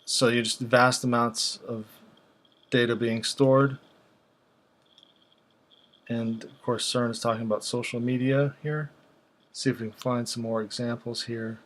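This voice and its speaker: A man giving casual, unscripted commentary as a video host, speaking haltingly as he thinks aloud. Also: mid-range voice, fairly even intonation, fairly bright tone.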